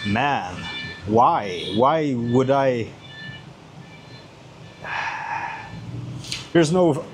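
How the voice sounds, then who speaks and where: A man's voice: medium pitch, a little hiss or hum. A man from Sweden with a Swedish accent talks casually and bluntly as a YouTuber answering questions, swearing often and repeating phrases for emphasis.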